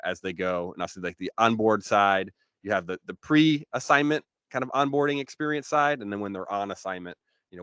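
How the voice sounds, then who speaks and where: A man with a smooth, fairly low voice, recorded close and dry. He explains business matters as an expert guest in an informal, conversational way. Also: a bit bright, dry recording, lo-fi sound. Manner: informal, explanatory, frequent "you know".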